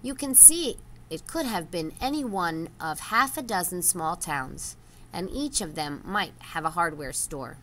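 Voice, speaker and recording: A woman's voice: fairly low pitch, expressive, measured pace, pushed and bright, slight background noise. A woman voicing a practical male rat adviser in a children's storybook, reasoning in a matter-of-fact way.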